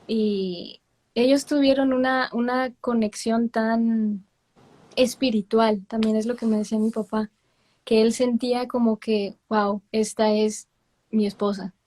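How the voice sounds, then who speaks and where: A woman's voice: a little high, steady tone, a little slow, warm tone, rough recording. A young woman with a Mexican accent, a guest telling stories in a casual interview. She laughs often and drops in English words. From Miami, with long stays in Mexico.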